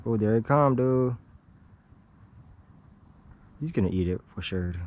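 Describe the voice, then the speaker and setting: A young man giving casual, excitable running commentary while fishing outdoors at a pond, talking into an action camera and filling his talk with "dude" and "man". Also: on the low side, a little slow, muffled recording. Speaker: fishing vlogger.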